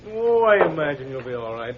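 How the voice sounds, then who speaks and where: Cynical giant of a sculptor, sardonic and frank, animated intonation, noisy vintage radio drama. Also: male voice, on the high side, a little fast, phone-quality sound. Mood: blunt, scornful. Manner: blunt, sardonic. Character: big, blunt, cynical red-bearded male sculptor. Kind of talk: 1940s radio drama acting.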